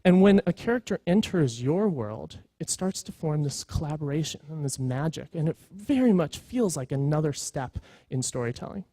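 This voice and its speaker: Man giving a talk, lighter-pitched mellow voice. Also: lively intonation, normal speed, hardly any pauses, no echo. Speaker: conference speaker, creative executive. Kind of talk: conference presentation, conversational storytelling.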